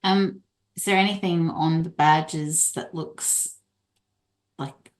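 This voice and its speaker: Low-voiced woman playing a tabletop game. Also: fairly even intonation, slow pace. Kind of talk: casual in-game chat.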